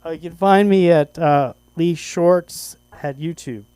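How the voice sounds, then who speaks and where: A young open mic comedian talks casually with the host. The voice is clear and medium in pitch, with a hesitant, halting way of speaking. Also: warm tone.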